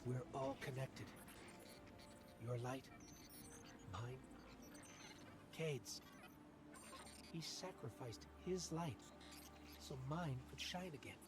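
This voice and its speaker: An actor voicing a small, earnest robot companion in a game cutscene, speaking gently and reflectively. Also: male voice, mid-range voice, lively intonation, very slow, long pauses, over music.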